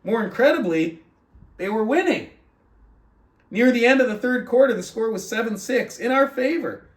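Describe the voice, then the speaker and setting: A man's voice: very high-pitched, animated, normal speed. A man hosting a book club, speaking to his audience as he shares and reads a story aloud. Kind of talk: reading a book aloud with casual commentary. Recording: roomy echo, distant from mic.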